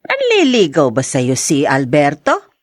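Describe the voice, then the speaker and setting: A woman's voice: sits low, wildly swooping pitch, unhurried, exaggerated voice. An actress with a Filipino accent, playing a fond, talkative middle-aged mother in a radio drama. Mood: coaxing.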